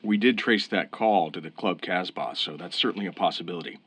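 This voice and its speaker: An actor playing a calm, fair-minded police officer in a radio crime drama, measured and authoritative. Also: male voice, low-pitched, slightly rough, no room sound. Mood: reasonable, firm. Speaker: police interrogator. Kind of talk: old-time radio crime drama acting.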